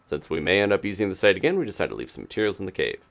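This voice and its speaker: A man's voice: low-pitched, big pitch swings, very fast, creaky, a bit bright, exaggerated voice. A man reading aloud an upbeat construction worker's field report from a video game, in a storytelling way. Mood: matter-of-fact, upbeat.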